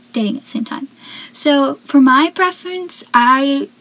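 Soft-voiced teenage girl, chatty. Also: sits high, slow. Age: teens. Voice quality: soft, young-sounding voice. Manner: chatty, rambling, drops to whispers for asides. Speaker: podcast host. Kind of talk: casual solo podcast chat.

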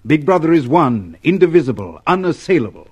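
A man's voice: fairly high pitch, big pitch swings, brisk, slightly rough, dull audio. A man giving dramatic scripted narration for a radio play in a solemn, declamatory way. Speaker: narrator and announcer.

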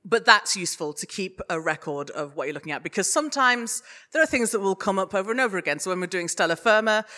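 A woman with a very bright, lively-edged voice at middle pitch. She talks informally as a guest speaker at a live event, animated and giggly, and corrects herself as she explains. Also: fast. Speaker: guest speaker, audio fiction producer. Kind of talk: informal panel talk. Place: live panel at a podcast festival.

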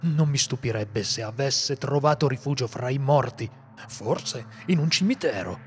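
Un uomo interpreta un cacciatore severo e inflessibile, dalla voce graffiante e profonda. Parla in tono grave, con un leggero rumore d'ambiente sullo sfondo. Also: male voice, medium pitch, expressive, a little slow, slight background noise. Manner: grave, firm. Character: stern, law-bound male monster hunter. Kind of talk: Italian radio drama acting.

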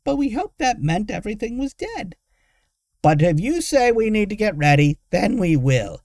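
A man voicing a shrill, griping old village woman. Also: big pitch swings, moderate pace, darkish tone, dry recording, clean studio sound. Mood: grudging. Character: scornful old village woman, defiant and stubborn.

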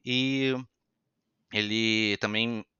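Chatty Brazilian man, bright crisp voice. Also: lowish voice, extremely slow, dry recording, dull audio. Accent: Brazilian Portuguese with some European Portuguese influence. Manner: animated, chatty, acts out quoted voices. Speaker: podcast guest. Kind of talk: casual storytelling interview.

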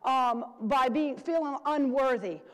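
A woman's voice: sits high, slow. A woman preacher giving a sermon, urging her listeners and repeating key phrases for emphasis. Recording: long hall reverb.

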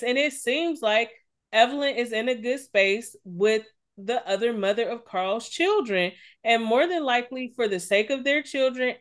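A woman co-hosting a casual gossip podcast, blunt and emphatic, with plenty of swearing. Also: on the high side. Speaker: podcast co-host.

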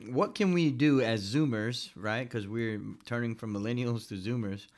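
A man's voice: medium pitch, normal speed. A man co-hosting a casual tech podcast, chatty and teasing, talking straight to the listeners. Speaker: podcast co-host.

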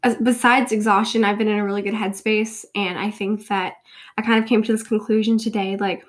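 A woman's voice: mid-range voice, level tone, fairly quick. A young woman in her twenties with a cold, talking casually as host of her own show, her voice congested and sniffly, rambling and joking at her own expense. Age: 20s. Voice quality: nasal, sniffly. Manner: rambling, self-deprecating, giggly. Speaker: podcast host. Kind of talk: casual solo chat.

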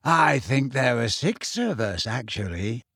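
A dreamy teenage girl in a storybook reading, acted out by a man. She draws her words out slowly with airy, dignified calm. The recording is dry and close. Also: mid-range voice, breathy edge, no room sound, exaggerated voice. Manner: slow, drawn-out, dignified. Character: dreamy, serene teenage girl, airily unbothered. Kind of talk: audiobook character voice.

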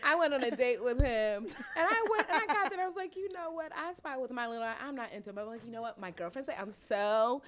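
A woman's voice: a little high, fast talker, few pauses. A woman in her thirties in a casual panel chat, telling stories with gusto and acting out her own lines. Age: 30s. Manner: animated storyteller, acts out her own lines.

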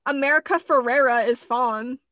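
A woman's voice: sits high, normal speed, dry recording, studio quality. A young woman chatting casually with friends, eagerly sharing trivia. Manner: animated, enthusiastic trivia sharing. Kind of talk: casual chat.

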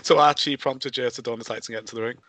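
A man hosting a casual interview podcast, asking fast, stumbling questions. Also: mid-range voice, fairly even intonation, pushed and bright, no room sound. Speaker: podcast host.

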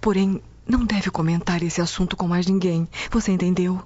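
A woman's voice: on the low side, steady tone, normal speed, a little mellow, close to an everyday voice. Actress as a weary, anguished Roman noblewoman. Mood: weary, troubled. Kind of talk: radio drama acting. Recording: dull lo-fi radio drama audio. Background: music bed.

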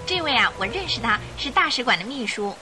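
An actress playing a lively, coquettish young lady passenger in a radio drama. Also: on the high side, a little fast, over music. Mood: curious, flustered.

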